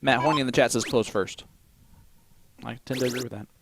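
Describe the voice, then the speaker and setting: A man's voice: medium pitch, fairly even intonation, brisk, vocal fry, background noise. A man with an Indiana accent calling a darts match play by play, joking and teasing his co-commentator. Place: commentary desk at a darts tournament.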